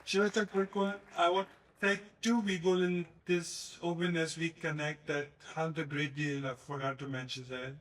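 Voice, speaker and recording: A man's voice: fairly high pitch, flat delivery, normal speed, hardly any pauses, fairly bright tone. A man giving brief, impromptu thanks at an event. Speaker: organization member giving thanks. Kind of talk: impromptu remarks. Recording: voice far from the mic.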